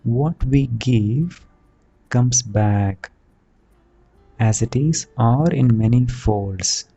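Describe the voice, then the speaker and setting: A man leads a guided meditation as a teacher, speaking soothingly and deliberately in short phrases with long pauses. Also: medium pitch, dark tone, husky, highs cut off. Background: background music.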